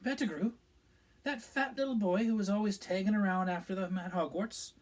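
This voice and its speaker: A man's voice: high-pitched, normal speed, darkish tone, pitched above the performer's own voice, natural voice. A man voicing a curious female innkeeper who asks eager, gossipy questions. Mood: curious, shocked.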